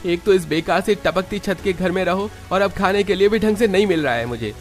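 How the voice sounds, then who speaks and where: A man's voice: high voice, a little fast, faint music behind, pitched above the performer's own voice, lightly acted. A man voicing a petulant, greedy son-in-law in a cartoon, whiny and demanding. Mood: aggrieved.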